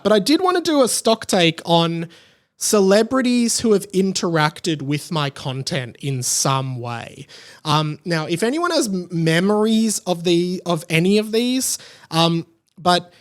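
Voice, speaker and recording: A man with an Australian accent hosting a podcast alone, talking casually in a rambling, conversational way. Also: on the high side. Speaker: podcast host. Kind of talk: casual solo podcast talk.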